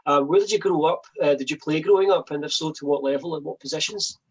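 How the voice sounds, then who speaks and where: A man co-hosting a casual show, easygoing and asking relaxed questions. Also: fairly high pitch, fast, breathy edge, muffled recording. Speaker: co-host.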